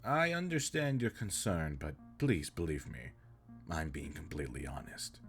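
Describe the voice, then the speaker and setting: A man's voice: a bass voice, expressive, moderate pace, fairly bright tone, faint music behind. A man playing an earnest, caring alien spaceship captain in an audio roleplay, speaking in stiff, formal, precise sentences. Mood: reassuring. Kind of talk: scripted roleplay audio drama, addressing the listener.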